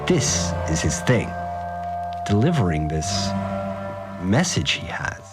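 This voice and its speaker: An actor playing an awed, rough-spoken working man who recounts strange events in a slangy, rambling audio diary for a fiction podcast. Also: low-pitched, sing-song, takes it slowly, over loud music, exaggerated voice. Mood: awed, stirred. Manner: slangy, rambling, unsure of his words. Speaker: fixer, a manual worker.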